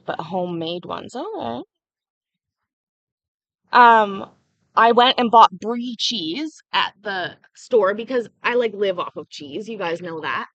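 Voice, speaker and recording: A woman's voice: medium pitch, fairly bright tone, no room sound, muffled recording. A young woman hosting a casual livestream, chatting freely and answering viewers' comments as they come in. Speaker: livestream host.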